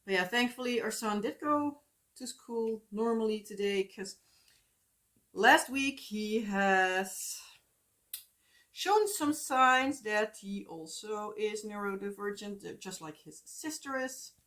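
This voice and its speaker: A woman's voice: medium pitch, animated, slow pace, fairly bright tone. Chatty Dutch-accented woman rambling. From Netherlands. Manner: chatty, meandering, many fillers and asides. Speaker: crafting vlogger. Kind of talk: casual rambling chat. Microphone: phone.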